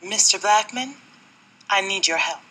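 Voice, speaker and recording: A woman's voice: medium pitch, unhurried, pushed and bright, a little hiss or hum, poor audio. A woman playing a troubled client pleading earnestly with a private eye in a film noir radio play.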